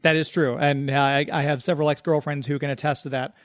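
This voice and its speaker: A man's voice: fairly high pitch, little pitch movement, quick pace, dry recording. A man hosting a radio show in a chatty, informal, off-the-cuff way, moving between casual talk and sponsor reads.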